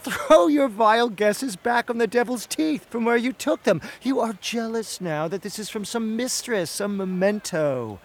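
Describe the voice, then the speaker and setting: An actor playing a charming, evasive officer and lover in a Shakespearean audio drama, courtly and placating. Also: male voice, high voice, expressive, unhurried, hardly any pauses, exaggerated voice. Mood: exasperated.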